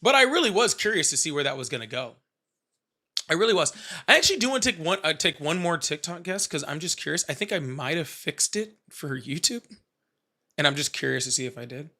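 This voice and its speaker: A man's voice: on the high side, big pitch swings, fairly quick, pushed and bright. A man in his thirties hosting a live call-in stream, blunt and joking as he challenges callers. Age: 30s. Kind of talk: casual live call-in chat.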